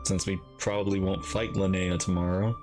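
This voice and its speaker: A man's voice: deep voice, flat delivery, moderate pace, over music. A man giving casual reaction commentary as he plays through a video game story.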